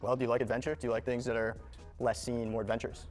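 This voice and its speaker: Halting man in his twenties, middle pitch. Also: flat delivery, faint music behind. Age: 20s. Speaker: dating show contestant. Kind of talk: casual self-introduction and chat.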